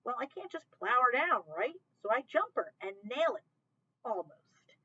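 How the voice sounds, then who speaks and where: A woman reading aloud, voicing a reckless teenage skater boy who tells his stunt story at rapid speed. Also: a little high, very animated, bright, forceful tone, slightly rough, muffled recording, pitched above the performer's own voice, exaggerated voice. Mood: boastful.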